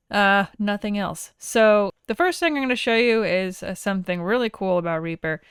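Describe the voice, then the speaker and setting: A woman teaching audio software in a casual, conversational screen-share tutorial, informal and enthusiastic about her tools. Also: medium pitch, clean tone, no room sound, clean studio sound.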